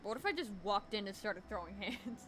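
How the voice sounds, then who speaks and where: A woman giving loud, slangy, casual let's play commentary as a gaming video host. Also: mid-range voice, expressive, a little fast, noisy background.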